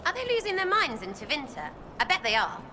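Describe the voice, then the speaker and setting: A woman's voice: high voice, huge pitch swings, brisk, sharp tone, slight background noise, exaggerated voice. An actress playing a blunt, cheeky young female adventurer trading informal, teasing banter in a video game. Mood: cheeky, needling.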